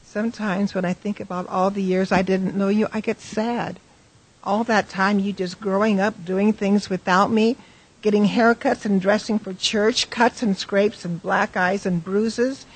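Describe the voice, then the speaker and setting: A woman's voice: mid-range voice, fairly even intonation, a little slow, breathy edge, low-quality recording. A woman acting a playful, sentimental wife in a radio drama, affectionate, teasing and coaxing. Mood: sentimental, tender.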